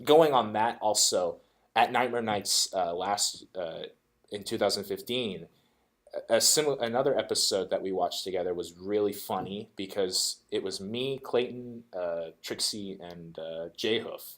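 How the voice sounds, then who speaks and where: A man's voice: on the low side, a little slow. A man hosting a casual fan podcast, rambling with many restarts and fillers. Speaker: podcast host. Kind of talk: casual chat.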